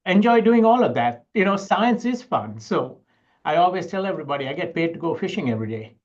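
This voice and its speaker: A man's voice: on the high side, big pitch swings, brisk, dull audio. A middle-aged man from Sri Lanka, answering conversationally as an interview guest. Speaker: interview guest, university educator and researcher.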